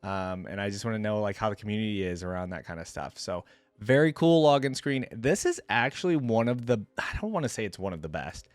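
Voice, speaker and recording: A man's voice: fairly low pitch, lively intonation, a little fast, no echo. A man giving casual, slangy, enthusiastic reaction commentary as a video host. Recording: music playing behind the voice. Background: game theme music.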